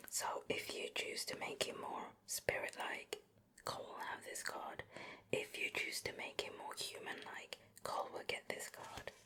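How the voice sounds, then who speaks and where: A woman giving an unhurried, chatty ASMR show and tell in a soft breathy whisper, close to the mic from ear to ear. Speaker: ASMR video creator and host. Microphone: close ear-to-ear stereo microphone.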